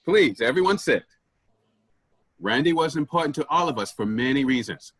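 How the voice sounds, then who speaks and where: A man's voice: medium pitch, lively intonation, moderate pace, fairly bright tone, slightly rough, dry recording. A man in a staged play reading, playing a flustered, formal, tense office boss.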